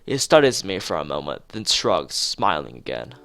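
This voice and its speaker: A man's voice: extremely low pitch, sing-song, normal speed, creaky, fairly bright tone, no echo. A man streaming a visual novel, reading the story aloud expressively with occasional asides.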